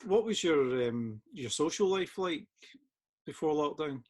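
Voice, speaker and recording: A man's voice: a little high, expressive, measured pace. A man asking brief, plain interview questions from away from the microphone.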